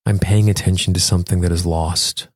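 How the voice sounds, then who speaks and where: A man's voice: sits low, fairly even intonation, a little fast, darkish tone, no echo, studio quality. A man speaking as a podcast host and coach, explaining and instructing the listener directly in a conversational self-help style. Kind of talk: conversational self-help talk and guided tapping exercise.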